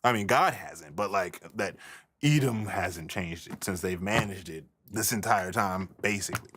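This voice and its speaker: A man's voice: low voice, moderate pace, noisy background. A man teaching religious history in an informal, colloquial and emphatic lecture style.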